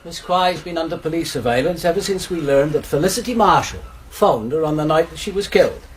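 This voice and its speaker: An actor playing a breezy, authoritative police sergeant in a radio drama. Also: male voice, a little high, a little fast, a little hiss or hum, lightly acted. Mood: firm.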